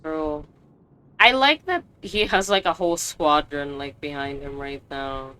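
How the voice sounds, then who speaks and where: A woman's voice: low voice, measured pace, sharp tone, clear, resonant tone. A woman giving casual, slangy, playful commentary as she plays a video game. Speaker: game streamer. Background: game music.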